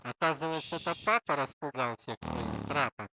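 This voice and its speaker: A woman's voice: deep voice, moderate pace, sharp tone, dry recording, rough recording. A woman voicing a chatty, encouraging mother pig in a children's cartoon story, with a motherly, exclaiming manner. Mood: reassuring.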